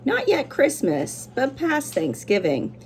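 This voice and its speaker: A woman's voice: sits high, wildly swooping pitch, slow, slight background noise. A woman teacher doing a children's read-aloud, with simple, encouraging explanations for young listeners.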